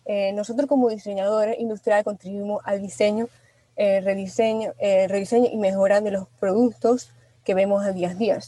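Venezuelan woman in a dry studio, talks with lots of uh and restarts, pretty even tone. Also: medium pitch, brisk, dry recording. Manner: hesitant, many fillers and restarts. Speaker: guest, industrial designer. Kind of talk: radio interview. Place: radio studio.

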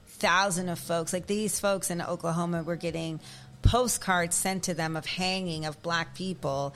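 Low-voiced woman radio co-host. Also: fairly even intonation, normal speed, fairly bright tone. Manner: storytelling, enthusiastic, sharing facts. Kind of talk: casual radio chat.

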